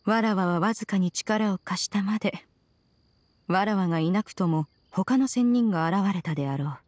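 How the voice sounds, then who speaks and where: A woman's voice: medium pitch, normal speed, a little mellow, no echo, natural voice. Game role: haughty ageless female spirit, actress, mid-range voice, archaic and dignified, aloof but caring. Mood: proud, dismissive. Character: proud, ancient female adeptus spirit, aloof yet caring. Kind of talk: Japanese game voice acting. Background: music.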